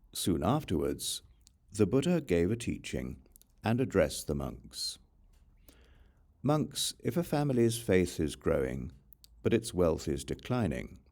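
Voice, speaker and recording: A man reading scripture as an audiobook narrator, in a measured, formal, scripted recitation. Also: low-pitched, dark tone.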